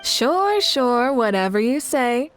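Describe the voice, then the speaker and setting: A woman playing a confident, composed young female leader with a playfully wry, measured manner in an anime-style game. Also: on the high side, sing-song, faint music behind.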